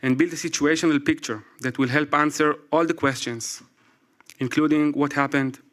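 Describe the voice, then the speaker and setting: A man in his forties with an Israeli accent, a native Hebrew speaker, reading a prepared talk from his phone to a live audience. He speaks carefully, with pauses and apologies. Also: a little high, fairly even intonation, a bit bright. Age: 40s. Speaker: speaker at a public event. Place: event stage before a live audience.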